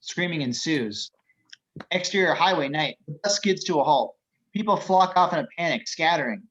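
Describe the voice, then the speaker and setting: Man, higher-pitched voice, scripted narration, screenplay action lines, table read. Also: expressive, moderate pace, fairly bright tone, lo-fi sound, slight background noise. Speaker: reader of action descriptions.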